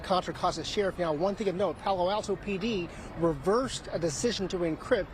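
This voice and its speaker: Male field reporter, slightly higher voice. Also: noisy background. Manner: crisp, measured news delivery. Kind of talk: news report, live stand-up. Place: outdoors on location.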